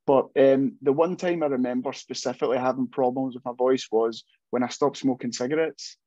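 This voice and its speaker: A man's voice: medium pitch, steady tone, a little fast, dark tone, no room sound. Rambling young man on a video call. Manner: rambling, self-deprecating, many restarts. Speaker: metal band vocalist. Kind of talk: casual interview chat. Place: home room, indoors. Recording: dull, dry video call audio.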